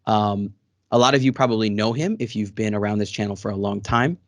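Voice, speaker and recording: A man's voice: lowish voice, steady tone, brisk, no echo. A man hosting a casual interview over a video call, friendly and rambling with many fillers. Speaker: host. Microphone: studio microphone.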